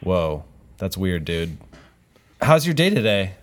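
A man from Houston, Texas, interviewing a child in a friendly, casual way with chatty, animated interest. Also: low-pitched, slow. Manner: chatty, slangy, reacts with exaggerated interest. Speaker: interviewer, show host.

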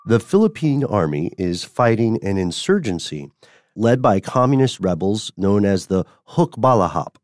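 Man, very deep voice, podcast co-host, casual animated storytelling, dry close mic. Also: normal speed, dry recording. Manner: animated, rising pitch when excited, frequent "right?" check-ins.

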